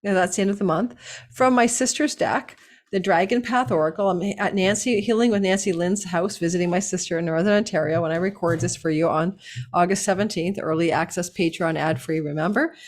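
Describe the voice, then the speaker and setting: A woman with a hoarse, slightly low voice reads horoscopes in a loose, chatty way. She wanders off on tangents and laughs at her own asides, recorded indoors at a house. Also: brisk. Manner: chatty, digressive, laughs at herself. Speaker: astrologer host. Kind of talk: casual horoscope reading.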